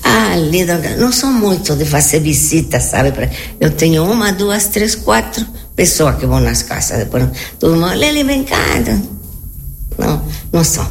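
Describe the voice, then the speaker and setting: An elderly woman from Argentina, a native Spanish speaker with an Argentine Spanish accent, chatting in a halting, repetitive, anecdotal way as an interview guest. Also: on the low side, big pitch swings, gravelly. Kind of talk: casual conversational interview answers.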